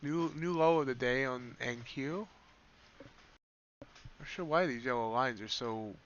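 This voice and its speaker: A man commenting live on his trading, thinking aloud and correcting himself, his voice slightly raspy after many hours of streaming. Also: medium pitch, unhurried, a bit bright, lo-fi sound, a little hiss or hum. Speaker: streamer, day trader.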